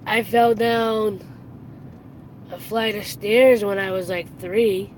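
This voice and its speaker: Raspy voice, heavy breathing, rambling live stream chat, car noise clearly heard. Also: medium pitch, steady tone, takes it slowly, poor audio. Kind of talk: rambling casual chat while driving. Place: inside a moving car.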